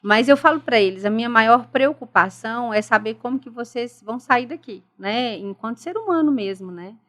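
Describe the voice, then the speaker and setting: A middle-aged woman with a Brazilian Portuguese accent talks on a podcast in a voice that sits slightly high. She is earnest and reflective, building her thoughts patiently and coming back to respect and dialogue. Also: lively intonation, normal speed, a bit bright. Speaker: guest, school director. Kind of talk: casual podcast interview.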